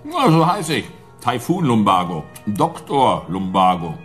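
An actor playing a huge, brutish thug in a radio drama, jeering and condescending, with a cocky manner. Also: male voice, on the low side, big pitch swings, unhurried, a bit bright, faint music behind. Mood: smug, condescending. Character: huge, brutish, gloating male thug posing as art doctor.

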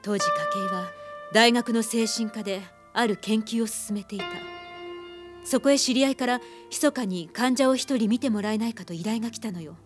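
An actress playing a composed, haunted psychiatrist, speaking in a measured, grave way in a Japanese radio drama. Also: female voice, a little high, music in the background, lightly acted. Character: composed, haunted female psychiatrist telling her dark past.

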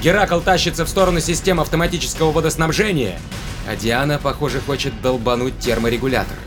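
Casting: man to play terse, serious sci-fi ship crewman, slightly higher male voice, over prominent score. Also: steady tone, fast talker, over music, lightly acted. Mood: urgent, worried. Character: Jørgen, tense male spaceship crewman. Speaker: actor in audio drama. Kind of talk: Russian sci-fi audio drama acting.

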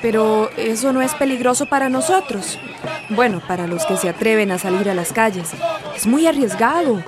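Woman acting shy careful lady in radio soap, kinda high voice, crowd noise behind. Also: animated, normal speed, lightly acted. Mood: surprised, worried. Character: cautious, shy immigrant woman, friendly but fearful.

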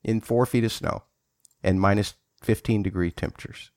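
A man's voice: on the low side, steady tone, normal speed, a little mellow, dry recording, clean studio sound. A man in his forties hosting a podcast, joking and stumbling on his words as he chats about a book. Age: 40s. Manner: playful, jokey, repeats words when starting a sentence. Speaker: podcast host. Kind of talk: casual book discussion.

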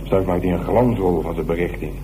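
An actor playing a cynical, hard-boiled police inspector in a radio drama, talking in a wry, mocking, colloquial way. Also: male voice, low voice, fairly even intonation, brisk, natural voice. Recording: humming, noisy radio play recording.

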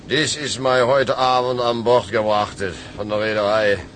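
An actor playing an old, weary German sea captain in a radio play, resigned, with a German accent and German words mixed in. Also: male voice, medium pitch, little pitch movement, close to an everyday voice. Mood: grave. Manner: slow, mixes in German words. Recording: clear background noise.

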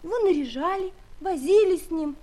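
Sweet caring young girl, really high soft voice, old radio play style. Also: lively intonation, a little fast. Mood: tender, concerned. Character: caring young giant girl, Gulliver's nurse. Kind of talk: Soviet audio play acting.

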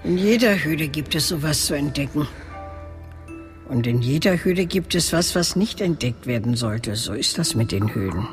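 An actress playing an elderly, gruff and wistful cave woman in a radio drama, speaking in curt, cryptic phrases. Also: low voice, lively intonation, moderate pace, faint music behind. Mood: cryptic, guarded. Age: older, elderly. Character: mysterious old cave woman, gruff, wistful, keeps a fox. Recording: long cavernous reverb.

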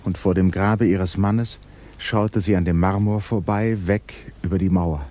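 An actor giving measured, sober literary narration in a German radio drama. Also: male voice, low-pitched, steady tone, dark tone.

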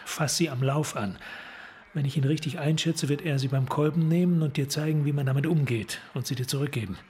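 An actor playing a calculating police detective in a radio drama, talking in terse, commanding instructions. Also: male voice, fairly high pitch, a little fast, a little mellow, slight background noise, lightly acted. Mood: urgent, controlled.

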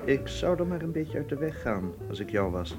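A man's voice: medium pitch, sing-song, normal speed, over music. A man playing a guarded, wary suitor in a radio drama, with a terse, dry manner. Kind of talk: naturalistic Dutch radio play acting.

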